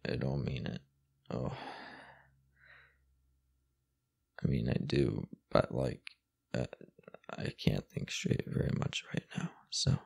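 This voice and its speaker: A young man playing a drunk, affectionate roommate in an intimate roleplay audio, slurring drowsily in a husky, halting voice. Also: darkish tone, heavily acted. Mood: sheepish, drowsy. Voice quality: husky, breathy, gravelly low voice. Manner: slow, halting, slurred drowsy tipsy delivery. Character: drunk, affectionate young male roommate confessing his crush.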